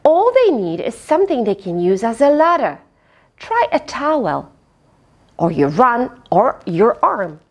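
Brief: woman children's storyteller, high voice, hugely varied intonation, unhurried teacherly delivery. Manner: expressive, questioning, teacherly. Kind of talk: animated picture-book read-aloud with asides to children. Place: small indoor fabric tent with cushions.

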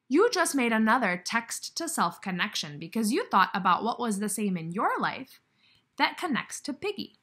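Expressive woman teaching young kids. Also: a little high, normal speed, husky. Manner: instructional, questioning, addressing children directly. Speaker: kindergarten teacher. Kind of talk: read-aloud lesson for young children. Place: indoor room with brick wall, by a window.

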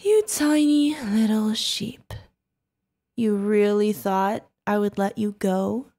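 Insane yandere woman, very slow drawn-out delivery, high voice, creepy, giggly, mockingly sweet, sadistic, ASMR roleplay. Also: darkish tone. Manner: creepy, giggling, teasing singsong, drawn-out threats. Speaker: voice actor.

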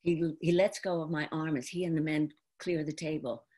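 An older woman of around seventy performing a dramatic, theatrical monologue in an expressive, deliberate manner. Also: low-pitched, heavy background noise. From New York, United States. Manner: expressive, deliberate, acted-out delivery. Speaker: stage actress performing an autobiographical monologue. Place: indoor room at home, before a folding screen. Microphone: computer video call microphone.

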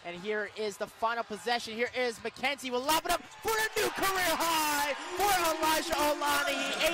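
High-pitched man calling live basketball on radio. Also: background noise. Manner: excitable, shouts on big plays. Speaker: radio play-by-play announcer. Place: indoor college basketball arena.

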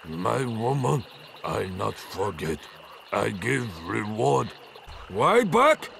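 An actor voicing a big, dim-witted male troll in a video game, with gravelly, halting, broken speech. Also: fairly low pitch, sing-song, a bit bright, a little hiss or hum, heavily acted. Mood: mournful, gruff.

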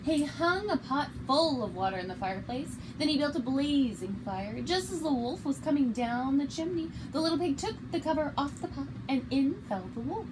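A young woman reads a children's story aloud in a high voice with very lively intonation. She is recorded in a small room at about arm's length, with clear background noise. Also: normal speed, hardly any pauses. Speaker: story reader. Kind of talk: children's picture book read-aloud. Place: small bare indoor room.

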